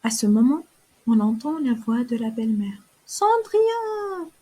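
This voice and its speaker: A woman narrating a children's fairy tale for a cartoon in a calm, clear storytelling manner. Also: on the high side, moderate pace.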